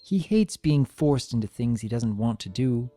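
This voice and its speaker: A man voicing a weary adult female pony, a caretaker and mother, in a quiet, subdued way for a story reading. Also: medium pitch, animated, normal speed, faint music behind, close to an everyday voice. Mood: resigned.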